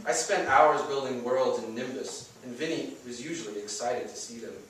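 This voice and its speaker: A man's voice: mid-range voice, moderate pace, fairly bright tone. A man reading first-person fiction aloud as its author at a live bookstore event. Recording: echoey room, distant mic, faint noise.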